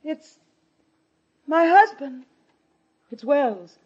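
An actress playing a scheming, sharp-tempered wife in an old-time radio thriller, in a tense, melodramatic way. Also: female voice, high-pitched, animated, very slow, heavy background noise, full character voice. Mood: frightened. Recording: dull old radio recording.

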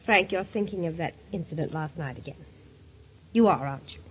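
An actress playing a devoted, anxious newlywed wife who coaxes and pleads tenderly, in old-time radio drama style. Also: female voice, low voice, brisk, lightly acted. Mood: soothing, firm. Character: devoted, anxious newlywed wife, soothing her troubled husband. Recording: muffled, hissy old radio recording.

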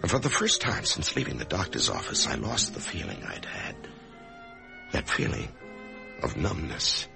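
An actor playing an anxious, death-haunted office man in a radio drama, speaking in a halting, emotional way. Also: a bass voice, wildly swooping pitch, vocal fry, over music, heavily acted. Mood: bewildered. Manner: halting, emotional, swinging from brooding to agitated. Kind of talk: old-time radio drama acting, first-person narration and dialogue.

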